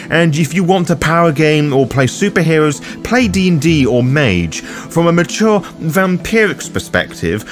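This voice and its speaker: A man narrating a scripted explainer as the host of a guide series, speaking in a formal, opinionated way. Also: fairly high pitch. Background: background music.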